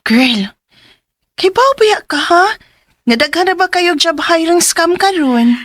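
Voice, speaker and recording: A woman's voice: extremely high pitch, expressive, takes it slowly, a bit bright, slight background noise, full character voice. An actress with a Cebuano Filipino accent playing a wary young female friend in a radio drama. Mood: concerned.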